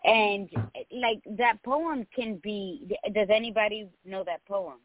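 A woman's voice: mid-range voice, takes it slowly, no room sound. An older woman co-hosting a radio talk show, speaking reflectively and reciting a poem. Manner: warm, reflective, quotes poetry. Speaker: co-host. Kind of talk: casual radio chat. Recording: dry, phone-like line.

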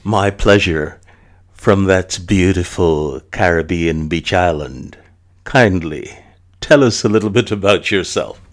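Slow, measured male host. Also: low voice. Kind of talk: scripted intro, then interview.

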